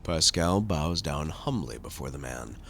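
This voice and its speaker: Deep, gravelly man narrating a game. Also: expressive, a little slow, a bit bright. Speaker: narrator and player of a game playthrough. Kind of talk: dramatic scripted game narration.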